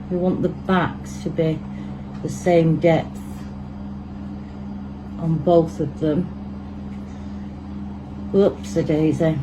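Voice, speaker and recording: Low-voiced Manchester woman, chatty crafter. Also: noisy background. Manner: chatty, thinks aloud while working. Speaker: resin crafter demonstrating. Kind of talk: casual hands-on tutorial.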